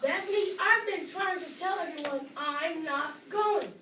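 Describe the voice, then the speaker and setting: A discouraged, slightly vain boy puppet performed by a woman in a children's show. The voice is high and plaintive. It carries from a distance through an echoey room with faint noise. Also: slow. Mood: doubtful, plaintive. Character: doubtful, discouraged boy puppet named Carl, a little vain.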